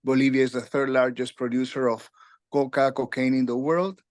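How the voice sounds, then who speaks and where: A man in his sixties from Peru, a retired UN official telling his career story in an interview, with halting speech full of fillers and restarts. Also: mid-range voice, flat delivery, no room sound, studio quality. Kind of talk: conversational interview.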